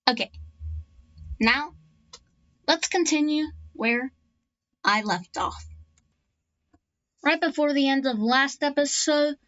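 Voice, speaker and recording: A woman casually narrating an alternate history map series, halting often with restarts and fillers. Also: sits high, a bit bright, lo-fi sound.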